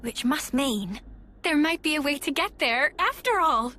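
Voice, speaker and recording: An actress playing a feisty young woman soldier in a video game, blunt and animated. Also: sits high, unhurried, full character voice.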